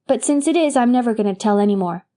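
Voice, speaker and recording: A woman's voice: on the high side, animated, fast, dark tone, natural voice. A woman voicing a mischievous six-year-old boy who talks in a childish, colloquial way. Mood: curious.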